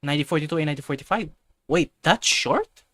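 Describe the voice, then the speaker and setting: A man's voice: on the high side, animated, fast talker, sharp tone, no room sound, clean studio sound. Rambling man chatting on a livestream. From Philippines. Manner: rambling, loses his thread and circles back; frequent restarts and self-corrections. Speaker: livestream host. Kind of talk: casual livestream chat, answering viewers.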